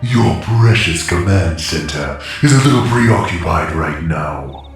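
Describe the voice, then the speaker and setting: A man playing a menacing, commanding alien emperor villain in an audio drama, with a gravelly voice and slow delivery. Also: deep voice, expressive. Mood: sinister gloating. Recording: heavily echoing voice over loud music.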